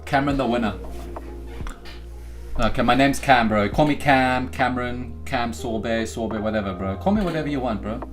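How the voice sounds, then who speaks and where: Young man, South African accent, live-stream host, hype bursts, low mumbled jokes, faint music behind. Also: moderate pace. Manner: hypes himself and the chat, then drops into low joking asides. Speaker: day-trading livestream host. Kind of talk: casual live-stream chat.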